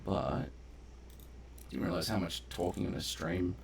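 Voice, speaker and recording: A man with a very deep, creaky voice gives casual gameplay commentary. He talks slowly, in a rambling, conversational streamer's way. There is a faint noise in the recording. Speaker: game streamer.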